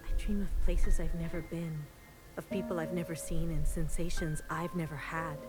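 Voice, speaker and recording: An actress voicing a bitter, secretly rebellious clone sister in a measured, confessional game monologue, sometimes breathy. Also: female voice, low voice, flat delivery, over music, natural voice, low-quality recording. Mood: haunted, resentful.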